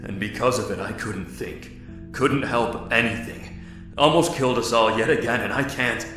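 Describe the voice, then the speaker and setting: A man acting a guilt-ridden leader in an audio drama, speaking in a grave, halting, remorseful way. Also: mid-range voice, natural voice. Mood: anguished. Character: guilt-ridden male leader ending a romance. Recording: echoey room with loud guitar music.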